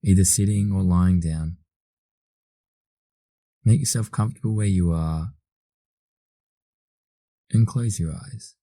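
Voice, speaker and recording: Australian man, meditation instructor. Deep, very soft dark tone, soothing delivery with long pauses. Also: dry recording. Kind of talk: guided meditation narration.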